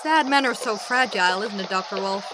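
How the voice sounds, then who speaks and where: A woman's voice: mid-range voice, a little fast, noisy background, natural voice. An actress playing a breezy, teasing young female student in a radio drama. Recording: clear outdoor ambience behind voice.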